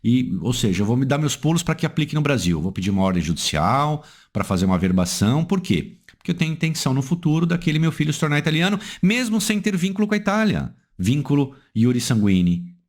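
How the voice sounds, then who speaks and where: Lively Brazilian man explaining the law. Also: fast talker, few pauses. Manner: asks rhetorical questions and answers them; emphatic, swings pitch up and down for stress. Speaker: legal analyst. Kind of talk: explanatory legal lecture.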